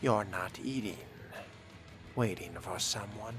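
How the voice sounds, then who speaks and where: A man roleplaying a polite, brooding young fledgling vampire in a video game, measured, formal and musing. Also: lowish voice, over music. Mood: pensive.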